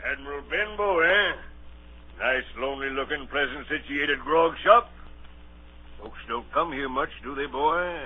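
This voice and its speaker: Gruff old sea captain, old radio. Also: male voice, a little high, measured pace. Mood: blustering, commanding. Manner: barking orders, salty nautical talk. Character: gruff, suspicious, domineering old seaman captain. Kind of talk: 1930s radio drama acting. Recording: muffled, noisy vintage radio recording.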